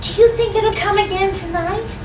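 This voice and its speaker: An actress playing a jumpy, chattering wife in an old-time radio comedy, fretful and excitable, recorded live on stage. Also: female voice, very high voice, moderate pace. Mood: anxious. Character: jumpy, chattering wife, timid yet curious. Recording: echoey live stage, distant, noisy.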